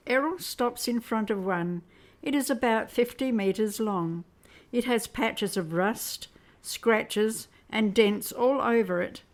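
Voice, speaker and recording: A woman reading scripted narration for a science fiction audio drama. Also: medium pitch.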